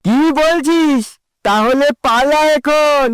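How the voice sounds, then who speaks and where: A man plays a cartoon ogre gang leader with an extremely high voice. The delivery is commanding, yet the figure is kind-hearted. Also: takes it slowly, fairly bright tone, slight background noise, heavily acted. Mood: defiant, urgent. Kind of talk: children's cartoon dialogue.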